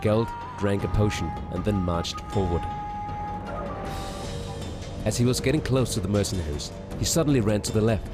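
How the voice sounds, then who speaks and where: A man's voice: on the low side, normal speed, pauses often. A man reading scripted fantasy story narration, with music behind him. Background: background music.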